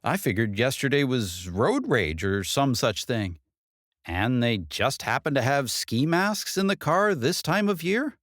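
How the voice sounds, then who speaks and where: Novel heroine read by a man: sardonic, guarded woman, slightly raised pitch, animated inflection, dry sound. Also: a little slow, no room sound. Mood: alarmed disbelief. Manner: sardonic, plain-spoken, guarded. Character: wry, defiant woman under threat.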